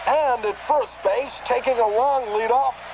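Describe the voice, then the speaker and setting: A man playing an excitable baseball announcer in a children's TV show, calling play-by-play with dramatic suspense over clearly noisy sound. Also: extremely high pitch, unhurried, heavily acted. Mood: excited, suspenseful. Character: excitable high-voiced male baseball radio announcer. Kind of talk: sports play-by-play parody.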